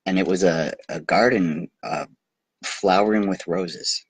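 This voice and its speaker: A man with a very low, husky voice reads a bedtime story aloud. He goes slowly and haltingly, translating loosely and slipping into amused asides. The recording is close and dry. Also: no echo. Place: recording himself on video.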